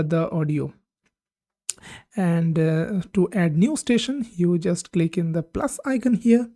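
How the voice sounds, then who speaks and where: A woman hosting a tech channel, talking through a software demo on screen, with many "uh" pauses and simple phrasing. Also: on the low side, dry recording, studio quality. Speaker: tech tutorial host. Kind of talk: screen-recorded software walkthrough.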